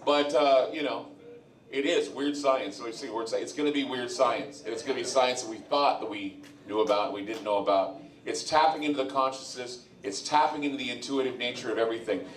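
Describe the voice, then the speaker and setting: A male radio host speaking live at a venue, his voice at an ordinary middle pitch. He rambles and repeats himself as he talks. The sound is roomy and echoey, picked up from some distance off the mic. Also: a little fast, slight background noise. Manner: rambling, conversational, repeats words. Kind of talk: live event talk to an audience.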